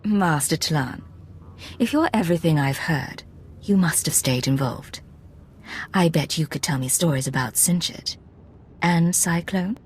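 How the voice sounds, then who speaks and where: An actress playing a poised, persuasive secret agent in a video game, controlled and smooth-talking. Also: female voice, low voice, animated, a little slow, a little hiss or hum. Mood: coaxing.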